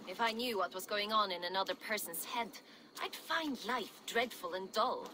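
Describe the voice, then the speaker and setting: A woman's voice: medium pitch, moderate pace, lightly acted, rough recording. A woman playing a brash, bawdy Viking ex-smuggler in a video game, with a jaunty, teasing, wry way of talking. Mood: wry, candid. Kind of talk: video game character acting. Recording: clear background noise and outdoor ambience.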